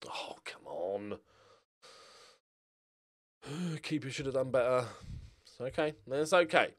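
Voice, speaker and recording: A man's voice: medium pitch, lively intonation, takes it slowly. A middle-aged man giving casual, rambling commentary on a game, with a wry, self-mocking, jokey manner. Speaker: gaming video host.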